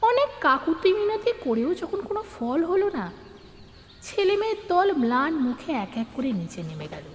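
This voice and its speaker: A woman's voice: sits high, big pitch swings, normal speed, long reverb tail, slight background noise. A woman narrating a scripted story in a dramatised storytelling style.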